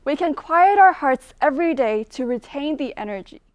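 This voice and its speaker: A woman host reads a scripted, reflective passage in a gentle manner. Her voice is high and light. The sound is dry, with little room. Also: lively intonation, moderate pace, dry recording. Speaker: event host. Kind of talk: scripted presenting.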